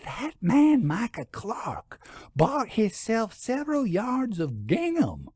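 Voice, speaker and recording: A rustic older shopkeeper woman as a man narrating a story plays her, high in pitch and lively in contour. She is gossipy and suspicious, leaning on words in folksy, ungrammatical speech. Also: slow, husky, no echo, studio quality, full character voice. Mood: conspiratorial, suspicious.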